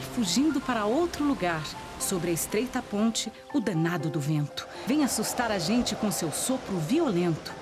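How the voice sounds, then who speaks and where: Woman reading a poem over music. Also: fairly high pitch.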